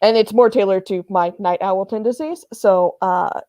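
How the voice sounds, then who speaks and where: A woman's voice: mid-range voice, normal speed, warm tone, no room sound, studio quality. A woman chatting informally and emphatically as a panelist. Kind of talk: casual chat.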